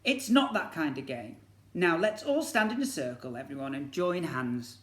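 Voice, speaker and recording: A man's voice: fairly high pitch, expressive, moderate pace, close to an everyday voice. A man voicing a firm but kindly mother hosting a child's party in a storybook reading. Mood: cross, firm. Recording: echoey room.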